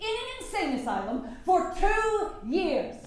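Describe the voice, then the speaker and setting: Casting: woman to play indignant Victorian-era women's rights campaigner on stage, challenging, high-pitched with sweeping intonation. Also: big pitch swings, measured pace, full character voice. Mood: righteous anger. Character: indignant, defiant 19th-century women's suffrage campaigner. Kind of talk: theatrical staged-reading acting. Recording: very echoey hall, faint background noise.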